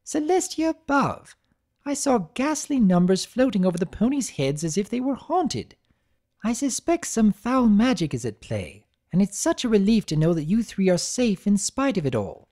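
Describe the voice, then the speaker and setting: A woman's voice: mid-range voice, very animated, moderate pace, warm tone, voice pushed up. A woman voicing a prim, theatrical adult mare fashionista who talks in elaborate, dramatic sentences. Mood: relieved, fussy. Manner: posh, elaborate, dramatic diction.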